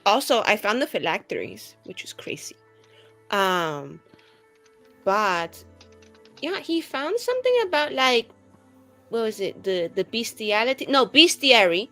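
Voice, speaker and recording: A woman's voice: a little high, big pitch swings, unhurried, pauses often, fairly bright tone, faint music behind. A woman playing a tabletop roleplaying game, improvising casual conversation as her character.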